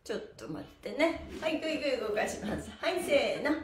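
A middle-aged woman with a high, very animated voice leads a stretching routine. She is cheerful and energetic, turning her counts into sing-song chants. She is heard from a little way off in an echoey room. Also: fairly quick, a little hiss or hum. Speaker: stretching and exercise instructor. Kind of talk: upbeat follow-along exercise instruction with counted chants. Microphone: camera a few steps away. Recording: roomy echo, distant microphone, music behind.

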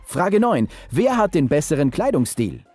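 A man's voice: a little high, very animated, moderate pace, a little mellow, soft background music. A man off camera who reads out numbered quiz questions in an announcing tone.

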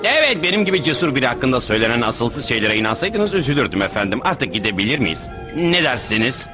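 A man's voice: mid-range voice, big pitch swings, fast, music in the background, close to an everyday voice. A man voicing a boastful, scheming cartoon pirate captain with a theatrical, sarcastic, blustering delivery in a dubbed animation. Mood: smug. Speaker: cartoon voice actor. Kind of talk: animated cartoon dub acting.

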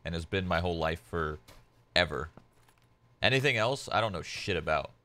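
A man's voice: deep voice, expressive, normal speed, bright, forceful tone. A young man whose first language is Polish, chatting casually as a game streamer at home, laid-back and rambling with lots of "like" fillers. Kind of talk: casual chat while gaming.

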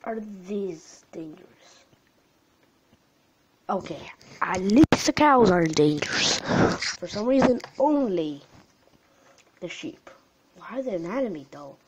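Young gamer with a tired voice: slow, jumpy commentary, gasps and near-whispers, faint hiss. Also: female voice, on the low side, big pitch swings. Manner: jumpy, gasping, swings between loud alarm and hushed whispers. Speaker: gaming channel host. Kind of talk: live gameplay commentary. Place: at home late at night.

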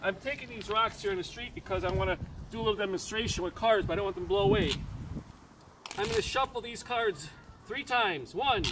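A man in his fifties with a high voice performs a card trick outdoors, counting aloud and quizzing the kids around him in a chatty showman way. He sounds like he is caught by a camera mic a few meters off. Loud street noise runs under everything. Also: distant mic. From Chicago. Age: 50s. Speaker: street magician and preacher. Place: residential street outdoors, kneeling on the sidewalk. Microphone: camera mic from a few meters away.

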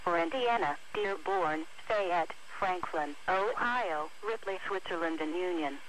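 A woman's voice: lowish voice, measured pace, a bit bright. A synthetic woman's voice reading a scripted weather bulletin as an automated announcer over the radio. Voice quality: synthetic text-to-speech voice. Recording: noisy weather radio broadcast recording.

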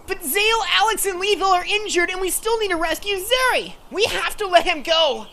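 A woman's voice: extremely high pitch, expressive, fairly quick, pushed and bright, slight background noise, heavily acted. A woman playing a small, worried, eager creature teammate in a comic dub. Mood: worried, urgent.

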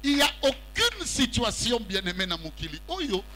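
A middle-aged preacher with a high, bright voice that swoops and climbs as he works the room. He keeps pulling answers from the congregation on a handheld mic. A faint hum runs under the recording. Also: big pitch swings, normal speed. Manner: call-and-response preaching, mixing French and Lingala; walks about the stage while preaching. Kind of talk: sermon. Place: large church hall with congregation.

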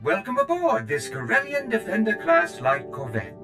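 An actor voicing a fussy, servile protocol droid steward with a formal, eager manner in a video game. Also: male voice, extremely high pitch, wildly swooping pitch, normal speed, over music, full character voice.

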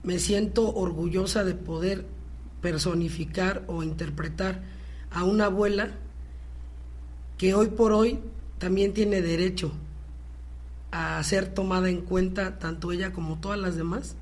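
A woman's voice: fairly low pitch, fairly even intonation, unhurried, pauses often, a little mellow. A woman with a Mexican accent speaking as an actress guest in a conversational interview.

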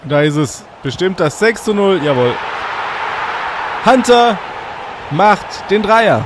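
A slightly congested man with a fairly high voice commentates his football game session from home and breaks into excited shouts at every goal. A fan whirs clearly behind him throughout, and he talks into a desk microphone. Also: takes it slowly. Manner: excitable, bursts into shouts at goals. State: a bit congested with a cold. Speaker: gaming channel host. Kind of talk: let's play commentary. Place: hot room at home with a fan running. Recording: audible fan noise.

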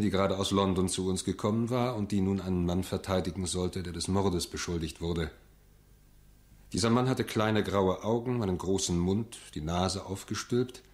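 An actor playing a reminiscing narrator in a radio crime drama, telling the story in a measured way. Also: male voice, deep voice, natural voice. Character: reflective male narrator recalling an old court case.

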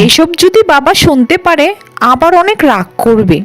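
A vain eldest sister in a cartoon story. Her fairly high voice moves up and down with scorn and condescension, over soft music. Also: very animated, normal speed. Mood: dismissive. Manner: condescending, dismissive.

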